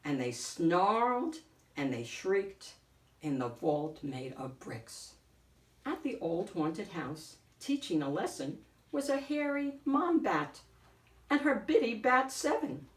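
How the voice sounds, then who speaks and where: A woman reading a picture book aloud as host, in a deliberate, theatrical storytelling way. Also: medium pitch, sing-song, far from the mic. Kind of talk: expressive picture-book narration. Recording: echoey room, off the mic.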